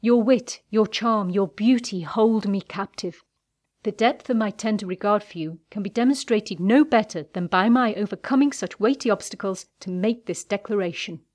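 A woman playing a proud, ardent young gentleman in an audiobook, speaking with formal, declamatory intensity. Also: medium pitch, normal speed, natural voice.

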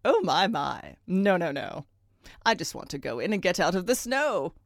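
Woman as storybook tomcat, sly, breezy, coaxing, lively pitch swings, dry close recording. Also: normal speed, no echo. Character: sly, breezy male trickster cat. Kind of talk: children's storybook character reading.

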